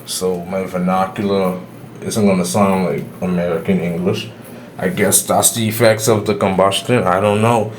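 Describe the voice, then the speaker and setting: A man's voice: sits low, little pitch movement, normal speed, slight background noise. A man with a Bahamian accent, giving his own views in a solo video monologue, explaining with some restarts. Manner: opinionated, explanatory, a few restarts. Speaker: astrology YouTuber. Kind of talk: solo opinion monologue to camera.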